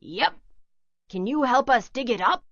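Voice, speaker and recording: A woman's voice: fairly high pitch, lively intonation, measured pace, a bit bright, no echo, pitched above the performer's own voice, full character voice. A woman voicing a jaunty old male ghost pirate who talks in a folksy drawl with rhyming patter. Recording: slightly dull lo-fi recording, a bit distant.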